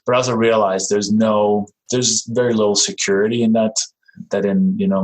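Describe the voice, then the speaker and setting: A man with a Danish accent talks in a low voice, friendly and unhurried. He stops and restarts often, filling gaps with ums as he explains himself. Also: breathy edge, low-quality recording. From Denmark. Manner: friendly, halting, many fillers and restarts. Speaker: guest, real estate investor. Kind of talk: casual interview talk.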